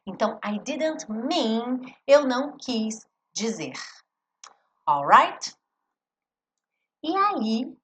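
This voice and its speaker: A woman teaching an English lesson in a live stream, explaining song lyrics and slowing down to read English phrases with emphasis. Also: on the high side. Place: home studio, live stream.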